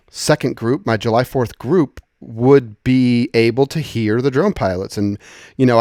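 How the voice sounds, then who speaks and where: Dry-sounding man giving an informal software walkthrough. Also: medium pitch, no echo. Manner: conversational, explanatory, thinking aloud. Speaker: software tutorial presenter.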